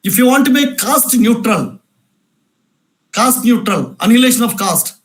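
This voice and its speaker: A man speaks in a noticeably high register, lecturing with insistent repetition. He drives his points home through rhetorical questions and repeated phrases. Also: animated, moderate pace. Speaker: speaker at a cultural event. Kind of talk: emphatic lecture answering questions.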